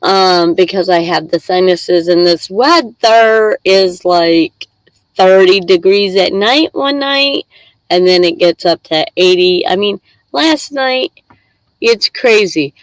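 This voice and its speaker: A congested middle-aged woman hosts a casual live stream, chatting and rambling to her viewers. She talks at a slow, easy pace with plenty of pauses. The sound is dry and close, with no room echo. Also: fairly low pitch. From Michigan. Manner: chatty, rambling, reads chat aloud. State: congested with a head cold.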